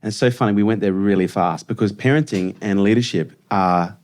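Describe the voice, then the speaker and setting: A man's voice: on the low side, darkish tone. An Australian man hosting a podcast interview, chatting keenly with his guest. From Brisbane, Australia. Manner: enthusiastic, quick chat with many agreements. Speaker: podcast host.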